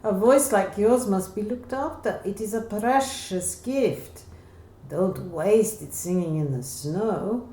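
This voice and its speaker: A woman's voice: mid-range voice, slow, warm tone, voice pushed up, close to an everyday voice. Woman voicing an earnest, caring singing teacher, echoey. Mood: concerned, earnest. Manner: earnest, emphatic advising. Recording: roomy echo, faint background noise.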